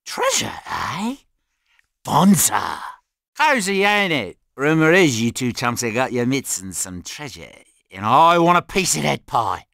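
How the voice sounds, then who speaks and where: A man's voice: medium pitch, huge pitch swings, unhurried, vocal fry, fairly bright tone, no echo, studio quality, exaggerated voice. A man voicing a thuggish, greedy dingo-crocodile creature with an Australian accent in a video game. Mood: greedy menace.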